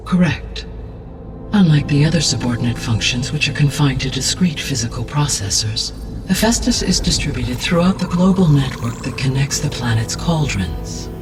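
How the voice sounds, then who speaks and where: A man voicing a calm, benevolent artificial intelligence in a video game, speaking in a formal, precise and measured way. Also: mid-range voice, distant mic, close to an everyday voice. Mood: measured, explanatory. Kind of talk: scripted video game cutscene dialogue. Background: background music.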